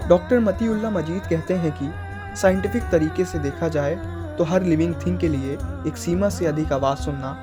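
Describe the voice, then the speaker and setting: Male news voice-over, scripted delivery, higher register, prominent music bed. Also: brisk. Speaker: news reporter narrating a report.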